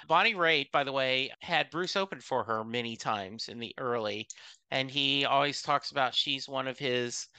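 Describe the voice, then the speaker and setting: Bright, crisp man hosting a podcast. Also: medium pitch, no echo, low-quality recording. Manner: rambling, with restarts and drawn-out words. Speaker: podcast host. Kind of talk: casual interview chat.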